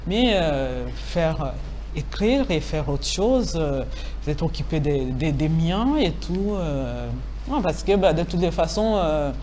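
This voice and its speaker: A woman's voice: low voice, lively intonation, takes it slowly, warm tone, slight background noise. A woman in her forties, a writer answering interview questions in a TV studio, talking hesitantly with many fillers and restarts and laughing easily. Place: TV studio set.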